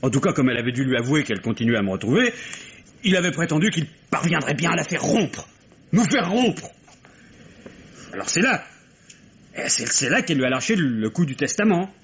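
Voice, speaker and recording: An actor playing a distraught, bitter young French lover under suspicion in a 1950s-style radio drama, with hesitant, broken phrasing. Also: male voice, fairly high pitch, big pitch swings, brisk, long pauses, a bit bright. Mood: resentful. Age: young adult. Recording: dull, noisy vintage radio recording.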